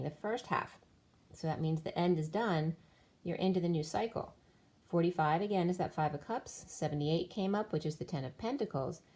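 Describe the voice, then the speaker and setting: A woman's voice: low voice, warm tone. A woman giving a solo spiritual tarot reading, explaining cards and numbers one by one while losing her voice. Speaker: tarot reader.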